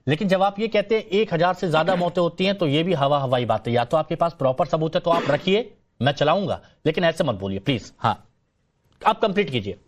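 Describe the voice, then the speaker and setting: Male news anchor, pretty high voice, moderating a shouty debate panel, keeps cutting in to get order. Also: lively intonation, brisk. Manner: interjecting, pleading for order. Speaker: television news debate host.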